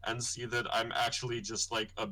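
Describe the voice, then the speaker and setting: A young man with a bright, forceful tone talks casually on his livestream. He explains his art in a thoughtful, rambling way, with plenty of fillers. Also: level tone, brisk. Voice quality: deep voice. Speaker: comic artist hosting his launch stream.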